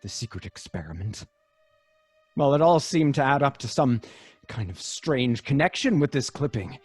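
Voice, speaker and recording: An actor playing a loyal, concerned best friend in an old-time radio drama, earnest and a little hesitant. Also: male voice, mid-range voice, big pitch swings, moderate pace, a little mellow, slightly rough, no echo, soft background music. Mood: earnest, probing.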